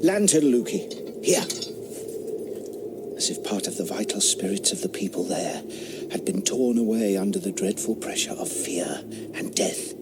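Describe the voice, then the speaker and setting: A man's voice: on the low side, big pitch swings, measured pace. Eager 1700s squire, voice swings up and down a lot, half-whispers his theories, woods noise behind. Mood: hushed, intent. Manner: earnest, eager, hushed when explaining theories. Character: curious 18th-century country squire experimenter. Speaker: squire investigating ghosts. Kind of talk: radio drama acting. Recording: audio drama with woodland ambience.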